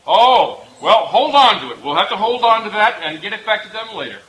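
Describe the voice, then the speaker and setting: A man's voice: sits high, big pitch swings, a little fast, sharp tone. A man hosting an old-time radio variety show with a showman's projected patter. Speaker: master of ceremonies. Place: outdoor night stage in woods, live audience. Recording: noisy outdoor stage, crowd.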